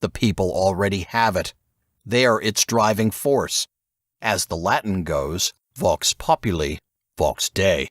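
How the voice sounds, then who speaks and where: A man plays an old intelligence veteran, stern and probing. His voice sits low and he speaks in terse, deliberate commands, as in audiobook dialogue, with a very dry sound. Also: animated, fairly bright tone, no room sound, heavily acted. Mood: demanding.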